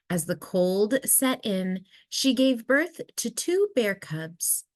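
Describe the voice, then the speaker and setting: Slow-paced woman reading a storybook. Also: mid-range voice, dry recording. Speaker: storyteller reading a picture book aloud. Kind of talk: expressive children's read-aloud narration.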